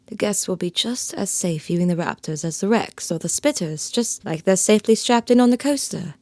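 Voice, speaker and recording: A woman playing a prim, earnest theme park professional in an audio drama, speaking in a measured, reserved way. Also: mid-range voice, expressive, a little mellow, dry recording, lightly acted.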